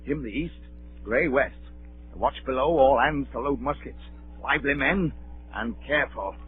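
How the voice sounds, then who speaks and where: A man's voice: mid-range voice, moderate pace, natural voice. Man as stern captain on tinny old radio. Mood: brisk, commanding. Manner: clipped, authoritative, formal. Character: stern, resolute ship's captain, commanding and curt. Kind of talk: 1930s radio acting. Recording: tinny, noisy, humming 1930s radio.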